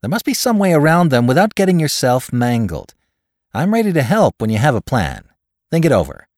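A man's voice: medium pitch, wildly swooping pitch, fairly quick, a little mellow, no room sound, studio quality, voice pushed up. A man voicing a coolly logical male friend who gives persuasive advice in a clipped, exact way, in an audiobook story. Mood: brisk, insistent.

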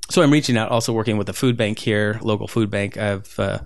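A man's voice: fairly low pitch, fairly even intonation, fast talker, no echo. A man who grew up in Southern California, talking casually as a guest with many fillers and restarts. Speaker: street photographer guest. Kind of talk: casual interview conversation.

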